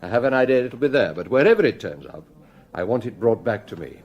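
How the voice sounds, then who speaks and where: An actor playing a shrewd, genial police chief in a British radio crime drama, brisk, commanding and probing. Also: male voice, mid-range voice, very animated, lo-fi sound.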